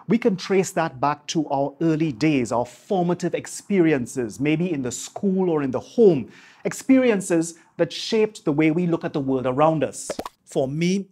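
Husky man, polished presenter. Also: a little high, a little mellow. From Trinidad and Tobago. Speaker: YouTube host, marketing coach. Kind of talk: scripted piece to camera. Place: small home office, indoors. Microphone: lapel mic.

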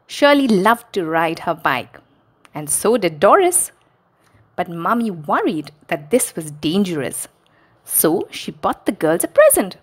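A female host reads a children's story aloud. Her delivery is slow and very expressive, with animated pitch, as she speaks straight to young listeners. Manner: expressive, addressing small children directly.

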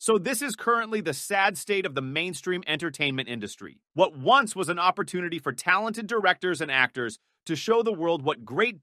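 A man delivers scripted commentary in a high, sharp voice. His tone is scornful and sarcastic. The voice-over is close and very dry. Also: no echo. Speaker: video commentator.

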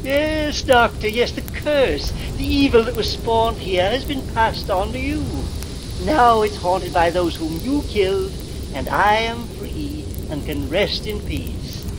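An actor playing the smugly polite ghost of a murderer in a 1940s radio drama, speaking deliberately and mockingly. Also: male voice, high-pitched, expressive. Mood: gloating. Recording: noisy vintage 1940s radio recording.

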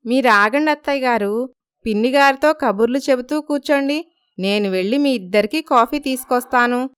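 Lady as young daughter-in-law, highish clear voice, polite n attentive, family story. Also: moderate pace, lightly acted.

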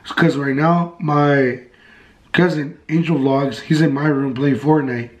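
A young man with a hoarse, sick voice, vlogging in a bedroom and teasing his cousin. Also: on the high side, fairly even intonation, measured pace. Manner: mocking, goading. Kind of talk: casual vlog, teasing. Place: bedroom at home. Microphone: handheld camera mic.

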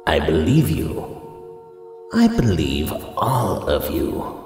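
Deep ancient spirit, very slow, solemn judge, cavernous reverb, faint music. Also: male voice, wildly swooping pitch, a little mellow, full character voice. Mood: grave, testing. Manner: slow, grave, portentous. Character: ancient, solemn male guardian spirit judging heroes. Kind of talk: superhero audio drama acting.

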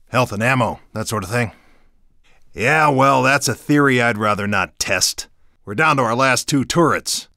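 A man's voice: mid-range voice, sharp tone, no echo, clean studio sound. A man playing an edgy, sardonic resistance guard in a video game, talking in a colloquial way. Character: edgy, sarcastic male resistance guard showing newcomer around. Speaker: video game minor character.

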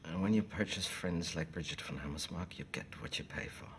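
A low-voiced German officer, acted by a man for a war film. He is urbane and smug, turning precise, courteous phrases into threats. Slight noise sits behind the dialogue. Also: a little fast, lightly acted. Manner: polite, theatrical, precise, savouring phrases. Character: urbane, smug, menacing Nazi officer negotiating coolly.

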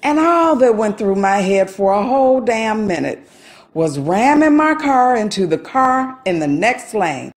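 A woman playing a distressed, guilt-ridden older sister in an audio drama, halting and repeating her words. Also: medium pitch, big pitch swings. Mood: troubled, halting. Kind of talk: naturalistic audio drama acting.

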